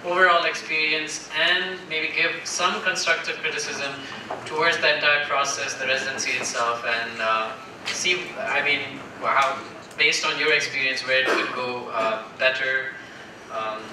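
A man's voice: medium pitch, fairly even intonation, measured pace. A man moderating a festival panel, politely and hesitantly inviting questions from the audience. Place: large hall with audience. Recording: echoey hall from far off, noisy.

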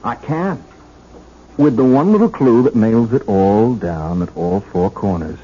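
An actor playing a shrewd, methodical police detective in a 1970s radio drama, making deliberate, insistent points in short clipped phrases. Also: male voice, lowish voice, expressive, warm tone, slightly rough. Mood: pressing, triumphant. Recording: hissy, muffled 1970s radio broadcast.

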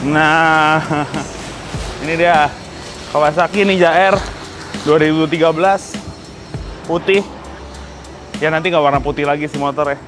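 A young man from Indonesia hosting a casual motorbike unboxing vlog, talking in a chatty, excitable way in an outdoor garage yard. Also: a little high, a little slow, pauses often, over music. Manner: chatty, excitable, swears and laughs. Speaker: motovlog host.

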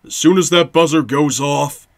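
A man's voice: fairly high pitch, moderate pace, close to an everyday voice. A young man voicing a crude, cocky anime brawler who is blunt and threatening. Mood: cocky menace. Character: crude, aggressive male brawler, cocky tough guy.

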